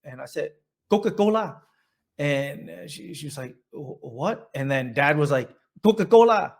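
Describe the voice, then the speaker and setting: A man's voice: fairly high pitch, animated, normal speed, gravelly. A middle-aged man from Vietnam with a Vietnamese accent, telling his life story in an oral history interview, rambling, with many restarts and fillers.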